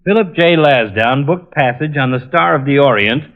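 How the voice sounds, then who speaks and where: A man's voice: mid-range voice, animated, normal speed, lightly acted. A man playing a matter-of-fact police officer in an old-time radio drama. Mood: businesslike. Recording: muffled, phone-like vintage radio recording.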